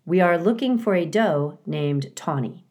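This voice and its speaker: A woman's voice: on the low side, animated, unhurried, darkish tone. A woman voicing a friendly, polite female robot mother in a storybook, with formal, plain phrasing. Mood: polite, earnest.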